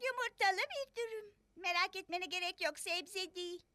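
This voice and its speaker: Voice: very high-pitched, normal speed, sharp tone, dry recording. A performer voicing a confident, helpful little girl in a children's cartoon dub, explaining things in a matter-of-fact childlike way. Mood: friendly, explaining.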